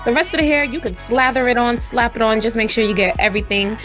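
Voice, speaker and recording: A woman with a raspy voice, chatting casually to her viewers as she reviews hair and walks through a tutorial. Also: on the high side, fairly even intonation, quick pace, a bit bright, soft background music. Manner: chatty, addresses viewers directly. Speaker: hair vlogger.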